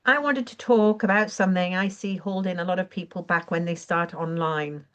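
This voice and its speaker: A woman coaching on a live stream, her voice croaky and her throat often clearing, talking in an earnest, encouraging way and now and then correcting herself. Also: lowish voice, moderate pace, a bit bright. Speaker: online business coach. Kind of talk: live-streamed training talk.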